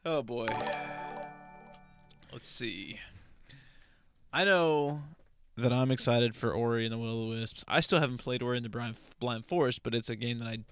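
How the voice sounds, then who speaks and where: A man's voice: medium pitch, moderate pace, long pauses, a bit bright, clear, resonant tone, soft background music. A man co-hosting a podcast in casual, conversational chat. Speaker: podcast co-host.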